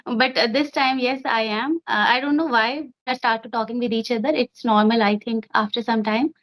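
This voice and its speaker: A woman's voice: a little high, fairly even intonation, normal speed, fairly bright tone, low-quality recording. Nervous woman learner. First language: Hindi. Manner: nervous, hesitant, many fillers and restarts. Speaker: guest learner. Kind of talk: video call conversation.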